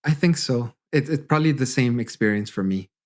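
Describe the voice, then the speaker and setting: A man with a Canadian accent hosts a podcast at an ordinary, middling pitch. He chats eagerly and tags sentences with quick checks for agreement. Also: animated. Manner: enthusiastic, chatty, often adds "right?". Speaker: podcast host, English teacher. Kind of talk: casual interview chat.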